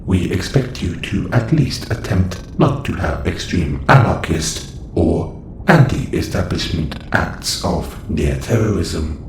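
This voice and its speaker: A man's voice: deep voice, big pitch swings, slow pace, heavily acted. A man voicing a clinical, detached observation robot in an audio roleplay, speaking in a stilted, formal, matter-of-fact way. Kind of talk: scripted audio roleplay monologue. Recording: roomy echo with faint noise.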